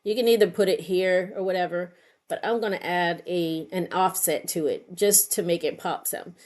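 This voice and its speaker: A middle-aged woman hosts an informal how-to, her voice sitting a little low. She explains each step unscripted, thinking aloud as she goes. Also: fairly even intonation, moderate pace, darkish tone. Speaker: craft tutorial host. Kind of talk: informal step-by-step screen tutorial narration.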